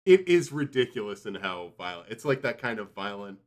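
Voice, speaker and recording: A man's voice: on the low side, sing-song, fairly quick. A man chatting casually and informally as a podcast reviewer, rambling as he goes. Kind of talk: casual chat.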